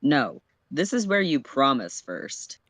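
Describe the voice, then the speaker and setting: A man's voice: medium pitch, sing-song, slow pace, fairly bright tone, no echo. A man voicing a cocky, evasive, wisecracking teenage boy in a story reading, slangy and flippant. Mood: smug.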